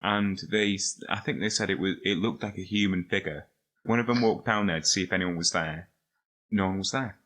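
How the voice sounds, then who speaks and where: A man talks in a lowish voice. He tells his anecdote step by step, in the informal way of a podcast chat. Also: a little fast, fairly bright tone. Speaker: podcast participant. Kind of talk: casual anecdote telling.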